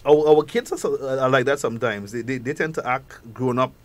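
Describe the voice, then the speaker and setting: Halting man with a Trinidadian accent. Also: medium pitch. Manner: halting, repeats words often. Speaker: guest expert. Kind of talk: talk show discussion.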